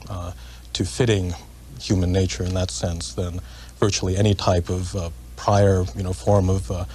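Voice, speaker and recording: A man with a very deep, flat voice explains a point haltingly, pausing on fillers. Faint room noise sits under his speech. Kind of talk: explanatory interview remark.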